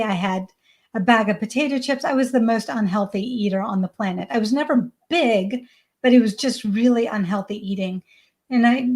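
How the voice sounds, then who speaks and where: A woman's voice: a little high, darkish tone. A woman in her forties whose first language is Hindi, a parenting coach talking in an earnest, emphatic way as a guest on a video-call podcast. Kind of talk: casual podcast interview.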